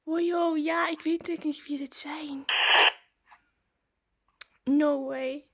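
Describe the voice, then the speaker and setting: A woman, chatting casually and excitedly to viewers as a vlogger. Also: sits high, extremely slow.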